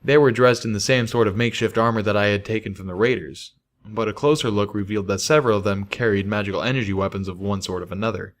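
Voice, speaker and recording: A man's voice: lowish voice, fast. A man reading a first-person fantasy story aloud as scripted narration. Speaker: audiobook reader of fan fiction.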